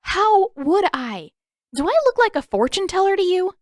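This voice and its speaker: A woman's voice: extremely high pitch, very animated, moderate pace, no room sound, clean studio sound, pitched above the performer's own voice. A woman voicing a defiant, hot-tempered teenage girl with a sarcastic, indignant manner in an audiobook. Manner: indignant, mocking, emphatic. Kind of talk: audiobook character acting.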